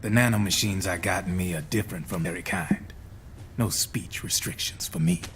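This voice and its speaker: A man's voice: low-pitched, normal speed, noisy background, natural voice. An actor with an American accent playing a slick, streetwise male arms dealer in a video game, folksy and sly as he explains. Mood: sly, explaining. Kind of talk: dramatic video game voice acting.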